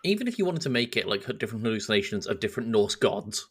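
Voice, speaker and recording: A man co-hosting a podcast, telling things in a casual, animated, jokey way with some swearing. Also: fairly low pitch, fast, slightly rough. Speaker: podcast host.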